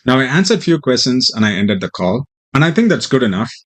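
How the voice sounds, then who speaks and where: A man explaining technical material as a software developer, speaking hesitantly with frequent ums and pauses. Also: medium pitch, very animated. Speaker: software developer presenting a demo. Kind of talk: tech tutorial walkthrough and mock interview answers.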